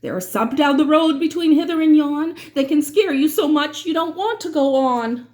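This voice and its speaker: A woman reading rhyming verse aloud for children in an expressive, dramatic way, drawing out certain words. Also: sits high, normal speed. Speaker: children's read-aloud reader.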